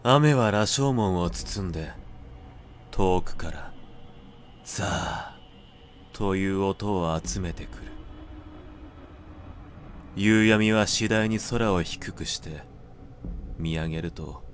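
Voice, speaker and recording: Man, lowish pitch, slow literary narration, measured phrasing, dramatic pauses, background ambient noise. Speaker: narrator reading literature aloud.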